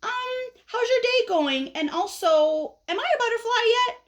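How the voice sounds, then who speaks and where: A woman voicing a whiny, clueless and excitable young male caterpillar from a storybook, pestering with eager questions. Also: very high-pitched, a little slow, lo-fi sound, pitched above the performer's own voice. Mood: impatient, pestering. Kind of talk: animated storybook read-aloud.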